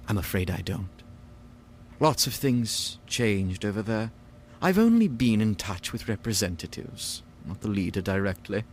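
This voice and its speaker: An actor playing a stern, condescending organization leader in an audio drama, with a sneering, authoritative, mock-polite manner. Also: male voice, lowish voice, lively intonation, unhurried, slight background noise. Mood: guarded.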